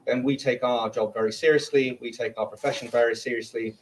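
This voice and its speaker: A man's voice: medium pitch, fairly even intonation, fairly quick, rough recording. Firm formal man at a press conference. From England.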